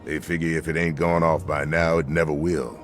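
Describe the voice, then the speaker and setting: A steady frontier lawman in a video game. His voice is extremely low, with a folksy drawl. He talks plainly, like someone giving a caution, with clear music behind him. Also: brisk. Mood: wry. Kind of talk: video game character dialogue.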